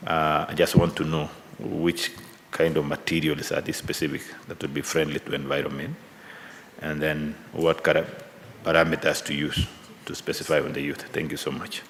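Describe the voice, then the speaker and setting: A man questioning presenters at a parliamentary hearing, halting and probing, often restarting his sentences. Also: rumbling low, level tone, creaky, a bit bright. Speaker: committee member questioning presenters. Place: large hearing room. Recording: long hall reverb, faint room noise.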